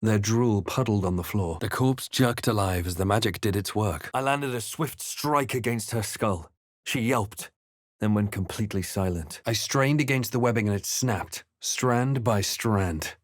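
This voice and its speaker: Man as fantasy game adventurer, lower-pitched, grave, measured, wry, literary, close dry sound. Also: no echo, close to an everyday voice. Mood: grave, narrating. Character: male adventurer hero, brooding, measured, wry. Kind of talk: scripted game voice lines and narration.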